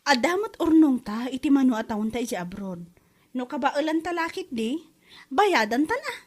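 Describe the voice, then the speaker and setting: A woman's voice: high-pitched, big pitch swings, moderate pace. A woman playing an inquisitive, sympathetic wife with an Ilocano accent, chatting in a conversational drama. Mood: curious, sympathetic.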